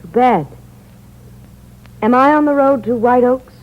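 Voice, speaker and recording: An actress playing a sharp, suspicious young woman in a 1950s radio drama, speaking quickly and boldly, with the dull sound of an old broadcast. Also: on the high side, natural voice. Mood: brisk. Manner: quick, accusing, cool under threat.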